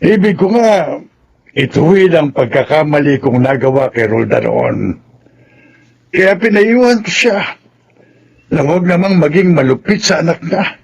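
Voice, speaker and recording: A gravelly, lively old Filipino grandfather, husky and halting. He sounds kindly and regretful, in the manner of a radio soap. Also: on the high side, full character voice. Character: elderly, regretful grandfather, fond of his granddaughter. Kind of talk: Filipino radio drama acting.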